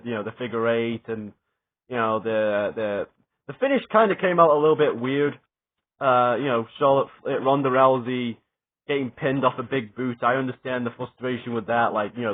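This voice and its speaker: A young adult man giving a rambling, excited spoken review, swearing often and stumbling over fillers and restarts. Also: mid-range voice. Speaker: wrestling reviewer. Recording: tinny phone-like sound.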